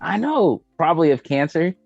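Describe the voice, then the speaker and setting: A man's voice: a little high, big pitch swings, normal speed, a little mellow. An American man hosting a casual chat about entertainment, talking in an emphatic, slangy, profane and opinionated way. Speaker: podcast host. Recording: dull lo-fi roomy audio, faint music behind.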